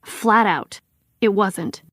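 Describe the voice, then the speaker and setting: Missing girl's best friend, bitter n protective, halting casual interview talk, dry close sound. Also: mid-range voice, fairly bright tone, no room sound. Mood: defensive, insistent. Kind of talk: acted documentary interview, conversational.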